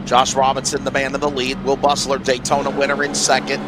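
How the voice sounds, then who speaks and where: A man doing play-by-play for an online race broadcast, his voice hoarse and raspy, rattling off the running order. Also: mid-range voice, completely flat delivery. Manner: rapid rundown of race order. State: hoarse from allergies. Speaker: play-by-play commentator. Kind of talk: sim racing broadcast commentary. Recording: noisy track sound behind.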